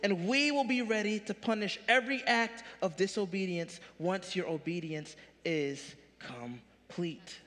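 A man in his thirties preaches from a big church stage, his high-set voice lifting into punchy questions. The sound rings out with the long reverb of a large hall. He is animated and direct, in full sermon mode. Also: a little slow, hardly any pauses. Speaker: pastor.

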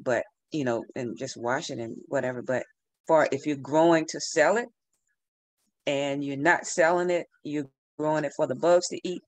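A middle-aged woman giving relaxed, repetitive gardening advice over a video call from a room at home. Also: low-pitched, no echo. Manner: relaxed, advising, repeats herself. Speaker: gardening speaker. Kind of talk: casual online talk. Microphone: video call webcam.

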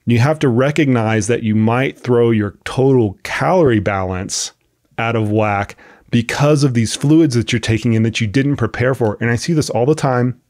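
A man's voice: mid-range voice, moderate pace, darkish tone, no room sound, clean studio sound. A man hosting a podcast with a cold and a sniffle, explaining at length in a casual, thinking-aloud way with frequent restarts. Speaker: podcast host, coach. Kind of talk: casual expert discussion.

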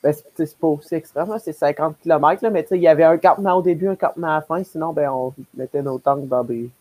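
A man's voice: a little high, quick pace, a little mellow, no room sound. A young man with a Québécois accent chatting casually on a podcast panel over a video call. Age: young adult. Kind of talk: casual chat. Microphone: headset or earbuds on a video call.

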